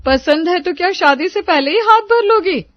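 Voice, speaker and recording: A woman voicing a judgmental middle-aged neighbourhood aunt who taunts and scolds, in an animated story. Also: very high voice, moderate pace, no room sound, dull audio. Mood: nosy, scolding. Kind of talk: Hindi animated moral story dialogue.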